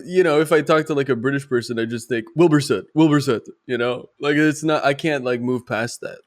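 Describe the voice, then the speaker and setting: A young man visiting as a podcast guest, talking in halting, stumbling restarts and laughing often. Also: medium pitch. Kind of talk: casual chat.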